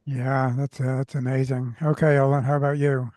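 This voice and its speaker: A man hosting a conversational podcast interview, talking haltingly with many restarts. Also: mid-range voice, little pitch movement, a little mellow, dry recording. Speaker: podcast host.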